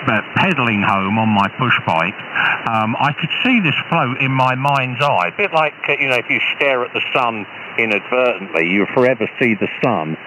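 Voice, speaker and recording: A British man, an amateur radio operator, chatting casually over a shortwave radio link in a halting, hesitant way with repeated false starts. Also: mid-range voice. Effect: radio transmission. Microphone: radio transceiver, received over shortwave. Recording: muffled, noisy shortwave ham radio signal.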